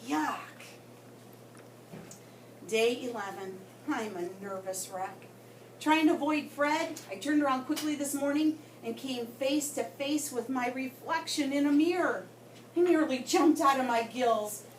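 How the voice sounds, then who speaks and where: A woman reading a story aloud to children in an expressive way, with dramatic pauses and emphasis. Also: high-pitched. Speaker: guest story reader for children. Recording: roomy, distant mic with background noise.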